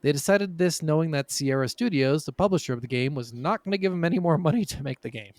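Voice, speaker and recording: A man's voice: a little high, animated, quick pace, darkish tone, dry recording, studio quality. A man hosting a gaming podcast, telling stories in an informal, conversational way and swearing freely. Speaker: podcast host. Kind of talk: casual podcast storytelling about video games.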